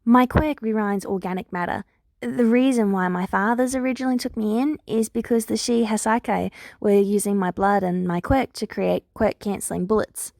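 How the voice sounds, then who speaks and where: A woman's voice: mid-range voice, steady tone, moderate pace, gravelly, a little mellow, no room sound, close to an everyday voice. Woman acting an earnest, firm young heroine student. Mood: grave, factual. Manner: prompt, firm, wry.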